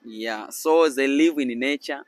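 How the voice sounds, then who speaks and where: A young man from Tanzania with a Tanzanian accent, hosting a casual street interview outdoors with a handheld mic and explaining things slowly in broken English. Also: mid-range voice, crowd noise. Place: sunny beach boardwalk outdoors.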